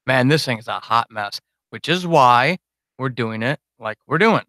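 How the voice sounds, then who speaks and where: A man's voice: medium pitch, expressive, moderate pace, pushed and bright, no echo. A man chatting casually and unscripted to his supporters on a livestream, rambling, informal and self-deprecating as a podcast host.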